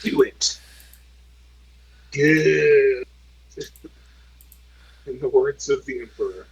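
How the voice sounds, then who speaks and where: A man's voice: medium pitch, drawn-out words, creaky, breathy edge, low-quality recording. A man at a tabletop role-playing game, throwing in casual interjections.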